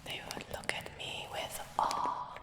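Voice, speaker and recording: A woman whispering softly in a fantasy roleplay, speaking gently to the listener. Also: slow pace. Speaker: ASMR roleplay creator. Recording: roomy whisper with long reverb.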